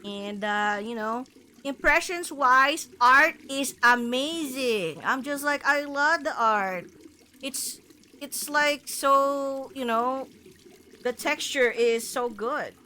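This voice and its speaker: A woman game streamer chatting casually to her viewers in a rambling, halting way, full of filler words. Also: high-pitched, bright, forceful tone, a little hiss or hum. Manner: rambling, halting, punctuated with "like" and "you know". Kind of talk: casual livestream chat to viewers.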